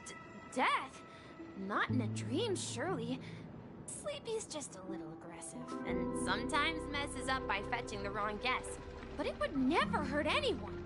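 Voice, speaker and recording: A woman voicing a polite, earnest young boy guide in a video game, gentle and a little hesitant. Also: high voice, wildly swooping pitch, measured pace, heavily acted. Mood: worried, defensive. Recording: music behind the voice.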